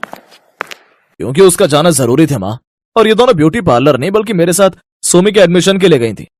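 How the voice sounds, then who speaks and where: A man's voice: a little high, big pitch swings, normal speed, dry recording, clean studio sound. A man playing a caring young husband and son in a cartoon story, earnest and firm. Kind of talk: Hindi animated moral-story voice acting.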